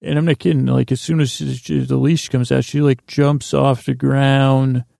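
Gravelly, mellow man rambling through a monologue. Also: medium pitch, flat delivery, dry recording, studio quality. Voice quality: gravelly, creaky. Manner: halting, meandering, constant false starts and self-interruptions; slips into imagined dialogue. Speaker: podcast host. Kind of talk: rambling first-person comic monologue.

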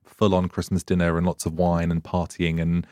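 A man's voice: deep voice, monotone, normal speed, dark tone, no room sound, clean studio sound. A man hosting a podcast, talking in a chatty, rambling way and laughing often. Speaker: podcast host. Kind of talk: casual interview chat.

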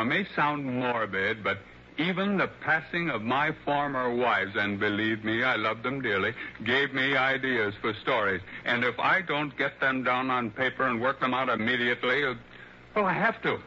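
An actor playing an older, blind, wealthy mystery writer, courteous and talkative with halting hesitations, in a vintage radio drama. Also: male voice, medium pitch, expressive, pushed and bright. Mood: earnest, compulsive. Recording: muffled, noisy old radio broadcast.

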